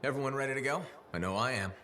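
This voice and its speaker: An actor playing an earnest, loyal freelancer and peacemaker in a video game, with a conversational manner. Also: male voice, mid-range voice, racing, over music, close to an everyday voice. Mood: easygoing. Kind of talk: dramatic video game cutscene acting.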